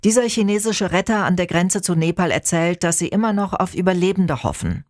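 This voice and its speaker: A woman's voice: lowish voice, animated, fairly quick, dry recording. Dry, studio-close female newsreader. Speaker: radio news anchor. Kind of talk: scripted news reading. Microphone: close studio microphone.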